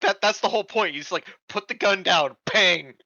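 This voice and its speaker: A man's voice: sits high, moderate pace, creaky, sharp tone, dry recording, lo-fi sound. A man playing a tabletop game, casually and playfully narrating what his character does.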